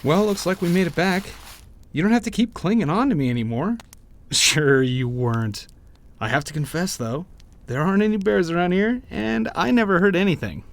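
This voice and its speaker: A man playing a teasing, warm-hearted young friend in an audio roleplay, conversational and given to playful grumbling. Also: high voice, animated, normal speed, creaky. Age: young adult. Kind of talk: audio roleplay acting, addressing the listener.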